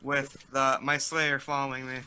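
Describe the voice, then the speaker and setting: A man's voice: medium pitch, flat delivery, moderate pace, a loud crowd. A man speaking casually as a player describing his character's moves in a tabletop roleplay game.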